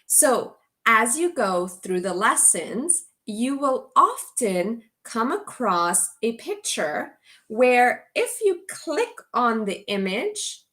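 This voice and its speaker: A woman teacher from Guatemala, giving a slow, clear orientation to an online English as a course. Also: on the high side, a bit bright. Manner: slow, deliberate delivery for language learners.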